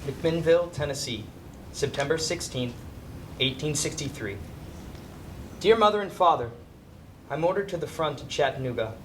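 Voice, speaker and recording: A young man playing a dutiful Civil War soldier, reading a letter home in a measured, earnest way. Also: a little high, expressive, a bit bright, slight background noise. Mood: earnest, resigned. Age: young adult. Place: indoor stage before a projection screen.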